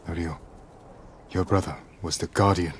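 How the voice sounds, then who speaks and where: A man playing a stoic, loyal samurai lord in a game drama, speaking quietly and solemnly in short phrases. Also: sits low, fairly even intonation, normal speed, slight background noise, lightly acted. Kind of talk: dramatic video game cutscene acting.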